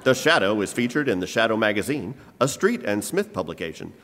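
A man's voice: on the low side, sing-song, brisk, fairly bright tone. A man playing an old-time radio announcer, reading script with grand, emphatic showmanship. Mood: grand, promotional. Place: live performance in a church hall. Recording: live stage in reverberant hall.

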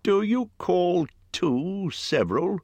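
A man voicing a huge, gruff bear-man who is blunt and impolite, in a growling voice. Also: animated, takes it slowly, warm tone, no echo, exaggerated voice. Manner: booming, growling, rough.

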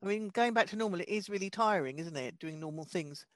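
A woman's voice: on the low side, quick pace, no echo, studio quality. A woman comedian co-hosting a chat show, talking chattily with easy laughter and stumbling restarts. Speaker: comedian and co-host. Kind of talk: casual chat and guest introductions.

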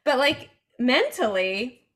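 A woman's voice: high voice, sing-song, slow pace, a bit bright. A woman in her forties hosting a podcast, chatty and thinking aloud. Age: 40s. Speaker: podcast host. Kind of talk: casual podcast chat.

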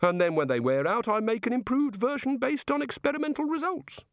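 A man doing an eager, high-voiced creator god. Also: lively intonation, a little fast, no room sound, studio quality. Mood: eager curious. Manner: chatty, eager, inquisitive.

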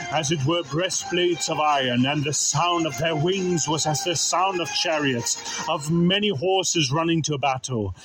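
A man reads archaic scripture aloud in a solemn style. His pace is very slow and his voice sits a little high for a man, with music clearly playing behind him. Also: poor audio. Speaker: scripture reader. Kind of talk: solemn reading of King James scripture.

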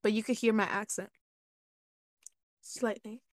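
A woman whose first language is Spanish, chatting casually as a podcast co-host, animated and laughing often. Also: mid-range voice, measured pace, dry recording, clean studio sound. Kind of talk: casual chat.

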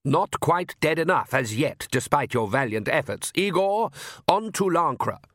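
A man's voice: fairly high pitch, animated, unhurried, fairly bright tone, dry recording, voice pushed up. Urbane vampire patriarch , done by a male narrator: conciliatory, pompous, faintly exasperated, close dry storybook sound. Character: urbane vampire father, patronizing, conciliatory head of family.

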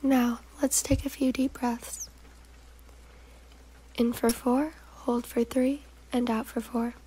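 A woman guiding a meditation in a soft, breathy, partly whispery voice, giving calm, gentle instructions in short, measured phrases. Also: fairly high pitch. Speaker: guided meditation host. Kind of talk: slow, soothing guided meditation narration.

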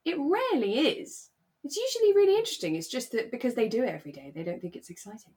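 Expressive woman chatting. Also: a little high, fast talker, breathy edge. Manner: animated, dramatic storytelling with acted-out asides. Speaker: podcast co-host; actor. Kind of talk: relaxed chat about a radio drama.

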